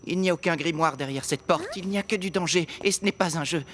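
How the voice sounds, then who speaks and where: A woman's voice: fairly low pitch, quick pace, a little hiss or hum, natural voice. A woman voicing a bold, curious young adventurer in a children's cartoon, with a keen, determined delivery. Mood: sobered. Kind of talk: animated children's cartoon acting.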